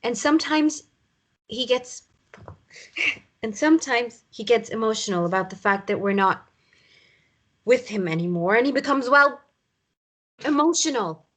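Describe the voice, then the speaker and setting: Woman playing a high-voiced, hesitant, weary mother. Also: animated, a bit bright. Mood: evasive, uneasy. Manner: hesitant, halting, appeasing. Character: weary mother downplaying her ex's abuse, evasive. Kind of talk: naturalistic drama table read.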